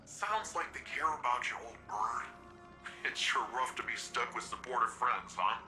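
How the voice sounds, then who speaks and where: An actor playing a cheerful, cocky young cyborg hero in a video game, with a breezy, slangy, joking delivery. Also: male voice, medium pitch, animated, moderate pace, bright, forceful tone, soft background music. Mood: teasing.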